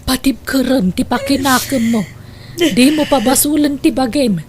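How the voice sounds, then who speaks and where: A woman's voice: high-pitched, normal speed, a little mellow, a little hiss or hum, natural voice. Actress as sympathetic middle-aged filipino lady friend, reassuring, radio drama. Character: consoling middle-aged female friend of a troubled mother.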